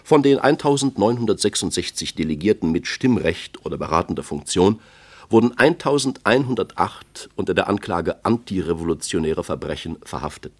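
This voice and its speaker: Radio play role: Soviet party leader at the podium, deep and accusatory, oratorical with very varied intonation. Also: male voice, very animated, brisk, heavily acted. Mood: grave, accusatory. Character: male Soviet leader Khrushchev delivering a grave accusatory speech. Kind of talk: formal political speech in a radio play.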